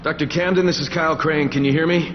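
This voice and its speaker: Man playing a terse, high-set defiant male operative. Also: fairly even intonation, fast, dull audio, noisy background, close to an everyday voice. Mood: determined. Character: gruff, defiant male undercover operative in a zombie outbreak. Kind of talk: video game cutscene acting.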